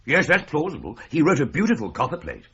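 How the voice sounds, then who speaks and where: A bluff, astonished English gentleman. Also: on the high side, huge pitch swings, quick pace, slightly rough, full character voice. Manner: bluff, exclaiming "Good Lord", self-deprecating. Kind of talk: BBC-style radio drama acting. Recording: dull lo-fi radio play with faint hiss.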